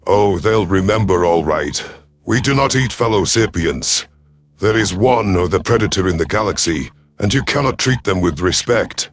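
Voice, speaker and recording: A low-voiced, stern alien predator commander acted by a man in a sci-fi audio story. The delivery is commanding and biting, with a sardonic growl. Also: lively intonation, moderate pace, slight background noise. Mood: cold, mocking.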